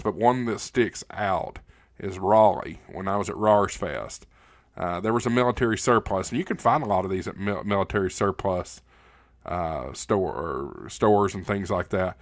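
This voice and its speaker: Low, dry-voiced man streaming. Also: no room sound. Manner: folksy, plain-spoken. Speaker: livestream host. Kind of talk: casual live Q&A chat, reading viewer comments.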